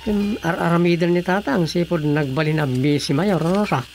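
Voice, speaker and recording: Middle pitch, Filipino accent, troubled grown child, acted, radio drama, faint music behind. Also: normal speed, darkish tone, lightly acted. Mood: worried.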